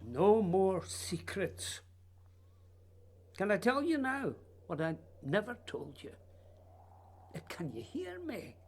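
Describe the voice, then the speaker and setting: A man acting an intense, ageing playwright in a radio play, with halting, poetic delivery. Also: high-pitched, wildly swooping pitch, slight background noise, exaggerated voice. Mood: mournful, haunted. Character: wistful ageing Scottish playwright, haunted and intense.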